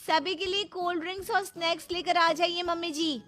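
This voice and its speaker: A woman's voice: very high-pitched, fairly even intonation, fairly quick, a bit bright, soft background music, pitched above the performer's own voice. A woman voicing a bossy, snobbish young modern daughter-in-law in a cartoon, curt and commanding.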